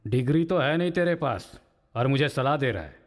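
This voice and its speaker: A man's voice: mid-range voice, lively intonation, fairly quick, natural voice. An actor playing a jailed father who tells his story and coaxes his crying baby son in sing-song baby talk. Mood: scolding.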